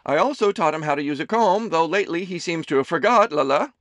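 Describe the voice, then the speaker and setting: A man voicing a doting, gossipy, middle-aged mother who runs a diner, loud and theatrical. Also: animated, quick pace, dry recording, studio quality. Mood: teasing. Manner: loud, theatrical, high chirpy voice.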